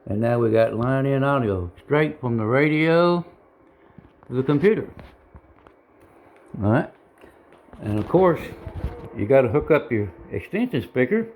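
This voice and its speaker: A man giving a casual, unscripted how-to demonstration in a halting, folksy, plain-spoken way, as a hobbyist. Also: medium pitch, animated, a little mellow, low-quality recording. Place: indoor home radio room.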